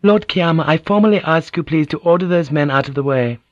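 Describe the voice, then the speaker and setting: A man's voice: mid-range voice, lively intonation, normal speed, no echo, lo-fi sound, natural voice. A man voicing a proud noble samurai lady in an audiobook, formal, courteous and commanding. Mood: formal, resolute.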